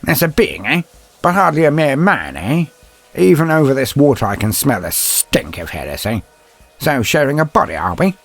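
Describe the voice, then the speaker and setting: A man voicing a gruff, defiant old inquisitor in an audio story, mocking and theatrical, with a gravelly, husky voice. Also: mid-range voice, big pitch swings, moderate pace, faint music behind, voice pushed up. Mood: sly, taunting. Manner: theatrical, taunting, tags questions with "eh".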